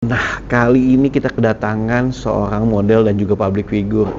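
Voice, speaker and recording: A man's voice: fairly low pitch, flat delivery, normal speed, a little mellow, dull audio, faint music behind. A man hosting a podcast in a casual interview style, chatty and often chiming in with agreement. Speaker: podcast host. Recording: clearly noisy background.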